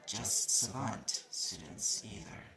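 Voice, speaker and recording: A haughty male magic-university guide, acted by a man in an audio roleplay, speaks in a lowish, creaky voice. He explains things curtly and looks down on the newcomer. Heavy noise runs through the recording. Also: slow, echoey room. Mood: haughty, explanatory. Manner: condescending, curt, explanatory. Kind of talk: audio roleplay acting addressed to the listener. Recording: noisy recording with clicks.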